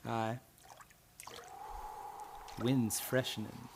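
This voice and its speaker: An actor playing a bitter old servant in a radio drama, speaking in deliberate, folksy, menacing phrases. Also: male voice, medium pitch, little pitch movement, gravelly, a little hiss or hum. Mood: terse, ominous. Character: bitter old servant boatman, gloating with lifelong hatred.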